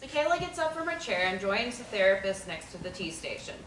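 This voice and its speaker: A woman reading the stage directions at a table read in a plain, even way. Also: mid-range voice, brisk. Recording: roomy audio from far off, faint noise.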